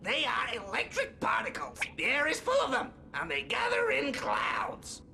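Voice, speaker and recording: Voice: medium pitch, huge pitch swings, moderate pace, creaky, a crowd in the background. An actor voicing a wicked, boastful polluting germ in a cartoon, exclaiming scornfully. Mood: scornful, astonished. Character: wicked, scheming polluting germ called Flu. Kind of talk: cartoon voice acting.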